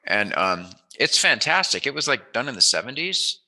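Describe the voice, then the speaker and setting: A man's voice: medium pitch, big pitch swings, moderate pace, bright, forceful tone, low-quality recording. A man hosting a casual interview, talking in an informal, meandering way. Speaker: interviewer, host.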